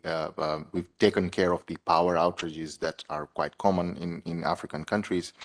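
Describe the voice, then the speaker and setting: A man, a PhD candidate answering examiners formally and measuredly, with frequent pauses and restarts. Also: low voice. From Tanzania. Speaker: PhD candidate defending a thesis.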